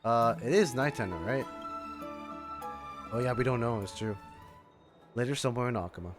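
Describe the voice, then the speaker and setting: A man's voice: medium pitch, normal speed. A man livestreaming a game in a casual, rambling, chatty way, reacting and reading text aloud. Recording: faint music behind voice. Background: faint game music.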